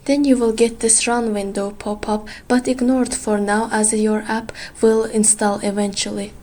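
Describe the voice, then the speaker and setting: Female tutorial presenter, somewhat high-pitched, casual step-by-step software narration. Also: level tone, normal speed.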